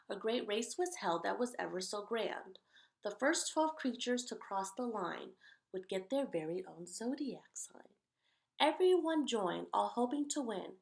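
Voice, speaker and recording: Woman reading a children's picture book aloud. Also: a little high. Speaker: children's story read-aloud host. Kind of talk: picture book read-aloud with casual asides.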